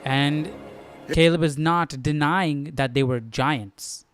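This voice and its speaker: A male streamer talks through his study informally, thinking out loud. His voice is fairly high and very clean, in a dry recording with no room sound. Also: takes it slowly, dry recording, faint music behind. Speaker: host of a live Bible study stream. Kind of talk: casual study-along commentary.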